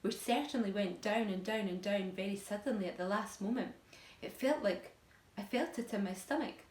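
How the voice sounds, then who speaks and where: A woman's voice: mid-range voice, normal speed, darkish tone, lightly acted. A fretful storybook earthworm, voiced by a woman reader, full of gloom and grumbling. It is heard in a room with strong echo. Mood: gloomy. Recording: echoey room.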